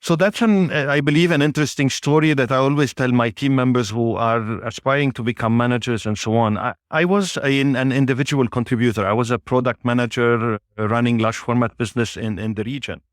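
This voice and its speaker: A man of Lebanese origin, a native Arabic speaker, answering interview questions in a measured way with frequent pauses. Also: medium pitch, no room sound, studio quality. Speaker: guest, business executive.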